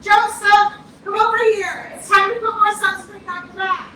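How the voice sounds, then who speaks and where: A woman's voice: extremely high pitch, steady tone, moderate pace, bright, forceful tone. An actress playing a doting, chiding mother calling out to her children in a staged play reading. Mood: fussing. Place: arts center stage. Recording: very echoey hall, distant, faint noise.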